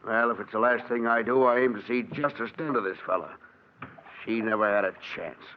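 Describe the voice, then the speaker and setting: An actor playing a gruff, folksy, indignant skipper of a rescue boat in a 1940s radio drama. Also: male voice, medium pitch, brisk, lightly acted. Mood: grim, indignant. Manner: blunt, folksy, commanding. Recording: noisy, muffled old radio recording.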